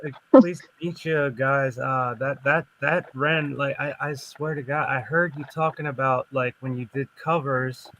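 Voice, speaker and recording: A man's voice: medium pitch, fairly even intonation, measured pace, few pauses, fairly bright tone, rough recording. Eager man chatting on a call. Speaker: musician on the panel. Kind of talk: casual group video-call chat.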